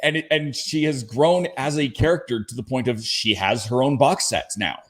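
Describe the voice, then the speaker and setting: A man's voice: mid-range voice, normal speed. Man, mid-range voice, podcast panelist, casual explanatory fan talk.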